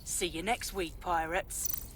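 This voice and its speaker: An actress playing a defiant pirate radio host in a video game, speaking boldly and punchily to listeners. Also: female voice, lowish voice, fairly even intonation, slow pace, fairly bright tone. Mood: rousing, determined. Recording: faint background noise.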